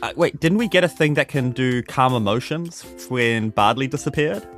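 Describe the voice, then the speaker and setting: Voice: medium pitch, moderate pace, fairly bright tone, faint music behind. A player with a New Zealand accent, chatting casually during a tabletop game.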